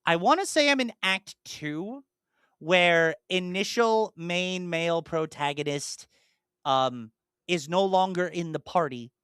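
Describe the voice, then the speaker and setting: Bright, smooth-voiced man chatting casually. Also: on the high side, no echo, clean studio sound. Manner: rambling, many fillers and restarts; animated, jumps into mock-exasperated asides. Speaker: podcast co-host and streamer. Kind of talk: casual podcast chat.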